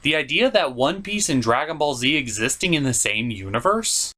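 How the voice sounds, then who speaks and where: Animated, bright-voiced man hosting a comedic review. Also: medium pitch, moderate pace. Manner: theatrical, opinionated, profane. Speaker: host and anime reviewer. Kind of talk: comedic scripted review commentary.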